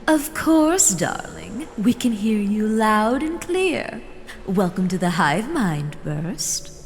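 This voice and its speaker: A woman playing a doting, possessive female elder in an audio drama, with a drawn-out, sweetly condescending, theatrical delivery. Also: mid-range voice, big pitch swings. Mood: sweetly commanding. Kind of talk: scripted fan audio drama acting. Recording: reverberant hall, faint noise.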